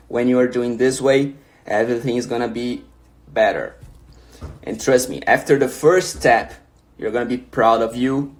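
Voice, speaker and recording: A young man from Brazil with a Brazilian Portuguese accent, a native Portuguese speaker, hosting a casual livestream where he reads viewer questions aloud and answers them encouragingly, often restarting his sentences. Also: medium pitch.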